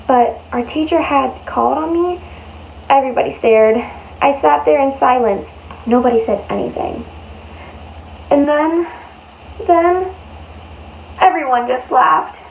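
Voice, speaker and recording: A woman with a high voice reads a storybook to young children as their storyteller. She stresses words in an exaggerated way and stretches some of them out. The recording is slightly dull and lo-fi, with faint noise behind her. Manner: animated, exaggerated emphasis with drawn-out words. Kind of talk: expressive read-aloud of a picture book for young children.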